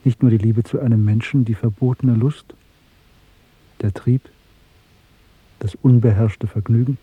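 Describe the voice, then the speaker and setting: A muffled, dry radio drama recording of an embittered male dissident. His voice is husky and he speaks in brooding, declamatory phrases, as a fatalist who hates the regime he lives under. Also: mid-range voice, steady tone, unhurried, dry recording. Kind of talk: German radio drama acting.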